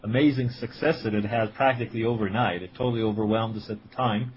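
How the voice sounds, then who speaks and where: A man's voice: on the low side, fast talker. A man in his forties from Israel, answering interview questions with many fillers and restarts, and a slight cold. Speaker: guest, writer and editor. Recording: dull lo-fi sound with faint noise.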